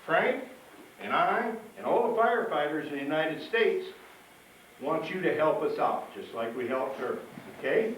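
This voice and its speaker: A man's voice: fairly high pitch, very animated, normal speed, a bit bright. A man hosting a fire safety show for children as a firefighter, chatty, folksy and plain-spoken, repeating himself and putting questions to the audience. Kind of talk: live presentation to kids, chatty and folksy. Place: live show at a fire station. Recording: echoey, distant mic, noisy live show.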